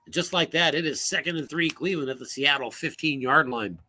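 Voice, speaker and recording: A man calls a tabletop football game play by play in a brisk, sharp, forceful voice that sits a touch high. His voice is wearing out, with a strained, hoarse edge. He lifts his energy for the big plays. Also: a little hiss or hum. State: losing his voice, coughing. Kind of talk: solo play-by-play commentary.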